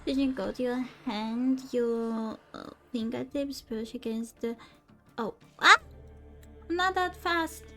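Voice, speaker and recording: A woman doing casual gameplay commentary, haltingly reading on-screen text aloud and stumbling over words. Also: fairly high pitch, pushed and bright. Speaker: game streamer. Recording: game music behind. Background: video game music.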